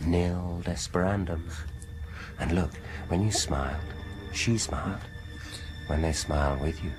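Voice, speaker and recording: An actor playing a smooth-talking, insistent British dentist in a radio horror drama, deliberate and persuasive, often dropping into near-whispers. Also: male voice, fairly low pitch, close to an everyday voice, poor audio. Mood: coaxing, seductive. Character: smooth-talking, insistent male dentist coaxing a frightened patient. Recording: radio drama with music behind.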